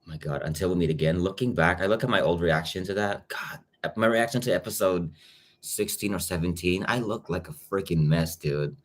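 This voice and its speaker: Young male reactor, Filipino accent, deep voice, casual livestream chatter. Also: moderate pace. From Philippines. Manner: chatty, greets viewers by name. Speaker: YouTube reactor.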